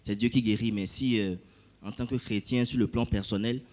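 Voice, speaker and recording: A man with a West African French accent telling a church testimony, animated and full of hesitations. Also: lowish voice, moderate pace. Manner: animated storytelling with frequent hesitations. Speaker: church member giving testimony.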